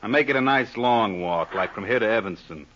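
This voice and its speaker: An American actor playing a surly, sarcastic hard-drinking young man in a 1950s radio crime drama, with curt, snappish, husky delivery. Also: lowish voice, animated, a little fast, fairly bright tone. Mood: bullying. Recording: dull, muffled old radio recording.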